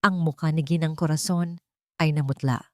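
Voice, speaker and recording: A woman narrating a scripted drama in the first person, with deliberate, dramatic short phrases. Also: low-pitched, steady tone, no echo, studio quality. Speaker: story narrator.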